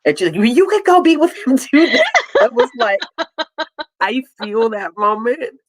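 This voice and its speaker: Female co-host, lowish voice, emphatic casual storyteller, close dry recording. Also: very animated, measured pace, dry recording. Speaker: livestream co-host. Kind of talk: casual chat.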